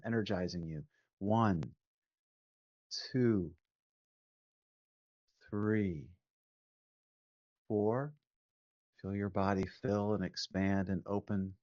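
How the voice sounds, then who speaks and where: Slow, low-voiced man guiding meditation. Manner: calm, measured, gently instructing with long pauses. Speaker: meditation teacher. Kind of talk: guided meditation instruction. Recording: phone-like narrow band, roomy echo.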